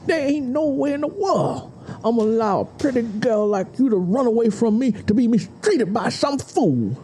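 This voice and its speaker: A man's voice: very high voice, animated, normal speed, warm tone, slight background noise, voice pushed up. A man voicing a possessive, menacing young man in an audiobook story, coaxing and insistent in folksy dialect. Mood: coaxing, controlling. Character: possessive menacing young Southern man, sweet-talking bully.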